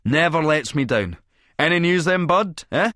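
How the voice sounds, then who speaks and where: A man's voice: fairly high pitch, lively intonation, normal speed, bright, forceful tone, dry recording, studio quality, voice pushed up. A man voicing a cocky, scheming, drug-fuelled Scottish wide boy with sly, cheeky patter in an audiobook. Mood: cocky, manic.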